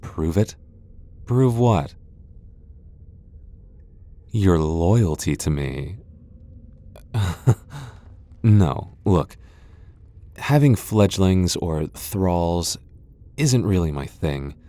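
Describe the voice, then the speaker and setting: Very deep, brooding vampire lord acted by a man. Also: animated, very slow, long pauses, warm tone, heavily acted. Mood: wary, reluctant. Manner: haughty, sardonic, reluctant. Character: tsundere male vampire lord, proud, guarded, brooding loner. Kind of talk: ASMR boyfriend roleplay audio drama.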